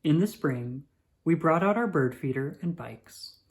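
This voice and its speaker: A narrator reading a children's picture book aloud in an expressive, measured storytelling manner. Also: medium pitch.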